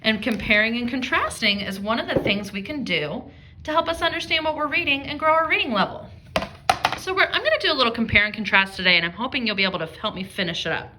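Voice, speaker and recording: A woman working as an elementary reading teacher, reading aloud and explaining to young children, thinking out loud and posing guiding questions. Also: a little high, lively intonation, fast, background noise.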